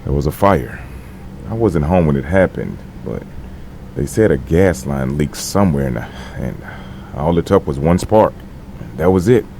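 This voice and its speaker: A man acting a gruff but tender veteran with a gravelly voice in an audio drama, speaking gently and haltingly. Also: extremely low pitch, expressive, full character voice. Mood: somber, halting. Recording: faint background noise.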